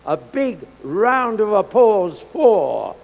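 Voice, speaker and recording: A man's voice: sits high, very animated, extremely slow, creaky, dull audio. A man presenting an exhibition match on stage, with a playful, mock-grand formal delivery. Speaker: event presenter. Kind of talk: theatrical stage announcing. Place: large event hall. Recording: echoey hall with faint ambience.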